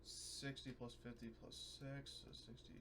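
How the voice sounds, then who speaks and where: A man's voice: medium pitch, flat delivery, measured pace, sharp tone. A man playing a tabletop game, chatting casually and thinking aloud in a hesitant way. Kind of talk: casual chat during a game. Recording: noisy microphone with clicks.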